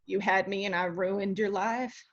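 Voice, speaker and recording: A woman's voice: medium pitch, steady tone, unhurried, lo-fi sound, close to an everyday voice. A woman playing a hurt, confrontational young daughter in a dramatic screenplay table read.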